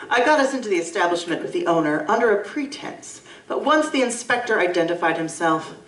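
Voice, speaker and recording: A woman narrating a period mystery, her lower voice rising and falling with lively movement. She speaks in a measured storytelling way, heard from a distance on an echoey stage. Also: a little hiss or hum. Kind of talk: scripted drama narration. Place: live convention stage.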